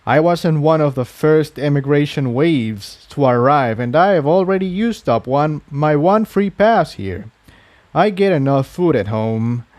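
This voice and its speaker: A polite, slightly uneasy pegasus stallion, voiced by a man, with a mellow tone. He talks hesitantly and courteously, as in a fantasy storybook, on a dry recording. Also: fairly high pitch, animated, unhurried, dry recording. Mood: friendly, sheepish. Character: polite, uneasy male pegasus blacksmith pony.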